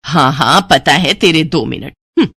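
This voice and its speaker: A woman voicing a strict middle-aged mother who nags and grumbles in a lowered voice. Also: animated, moderate pace, a bit bright, breathy edge, no room sound, full character voice. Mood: grumbling. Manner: nagging, grumbling.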